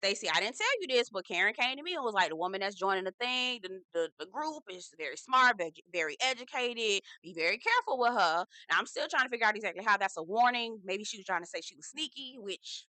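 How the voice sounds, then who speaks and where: A woman's voice: medium pitch, lively intonation, fast talker, hardly any pauses, sharp tone, dry recording, low-quality recording. A woman recapping reality TV in a sassy, blunt, casual way, swearing freely and asking "okay?" often. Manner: sassy, blunt, swearing, heavy slang, lots of "okay?" and "girl".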